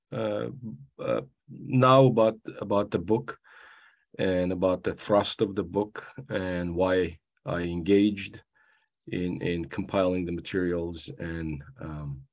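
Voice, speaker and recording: Man from Armenia, very low voice, dull lo-fi recording, halting speech. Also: darkish tone. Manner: halting, many fillers and restarts. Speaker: panel guest speaker. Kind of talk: panel talk.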